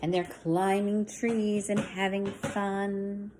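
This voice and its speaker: A woman teacher reading a picture book aloud to young children, expressively, with softer asides to explain it. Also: medium pitch, little pitch movement, slow pace, background noise. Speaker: schoolteacher reading to young pupils.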